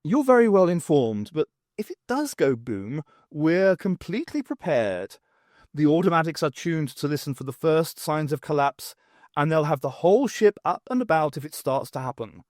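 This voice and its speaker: A man voicing a calm, middle-aged starship captain in an audiobook, measured and matter-of-fact. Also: fairly high pitch, dry recording, studio quality. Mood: reassuring.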